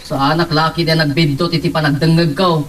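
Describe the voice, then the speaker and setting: A man's voice: a little high, little pitch movement, brisk, a bit bright, recorded from a distance, close to an everyday voice. A man playing a suspicious, angry older brother in a radio drama, with an Ilocano accent.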